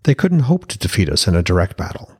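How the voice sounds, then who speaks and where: Man playing argumentative Norse prince, deep slightly husky voice, earnest, insistent, fantasy audiobook. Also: sing-song, quick pace, exaggerated voice. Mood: puzzled. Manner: insistent, reasoning.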